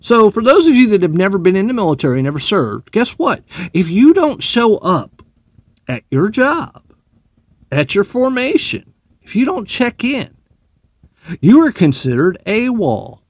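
Animated, sarcastic ranting man. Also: high voice, unhurried, breathy edge, no echo. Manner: profane, sarcastic, heated. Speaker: military veteran commentator. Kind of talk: ranting opinion monologue.

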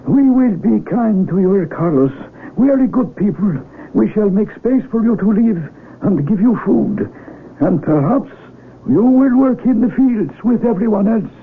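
A kindly old village patriarch in a seventies radio play. His voice is high for a man, with solemn, halting, deliberate phrasing. It comes through thin and tinny over clear hiss. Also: expressive. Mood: kindly, grave. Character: gentle, wise old village elder, blind, calm and patriarchal. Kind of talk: 1970s radio drama acting. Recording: tinny, noisy 1970s AM radio recording.

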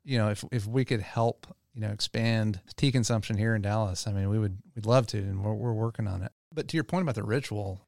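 A middle-aged man from Louisiana talks as a podcast guest, easy and rambling, full of fillers and restarts. His voice sits low and has a gravelly rasp. The sound is dry and close. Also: brisk, few pauses, no echo. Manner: relaxed storytelling, many fillers and restarts. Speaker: tea company co-founder guest. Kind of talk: podcast interview.

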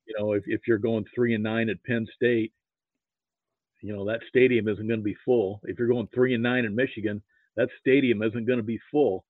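A man's voice: on the low side, fairly even intonation, dry recording. Middle-aged Midwestern man on a phone-like line. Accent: American Midwestern. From rural Nebraska, United States. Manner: halting, hesitant delivery with repeated "you know". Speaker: guest, sports fan and archivist. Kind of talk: casual interview chat. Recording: muffled, narrow phone-like line.

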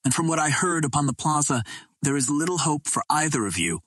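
A man's voice: on the high side, expressive, brisk, a bit bright, no room sound, voice pushed up. A man voicing a loyal green Martian woman who speaks urgently with alarm.

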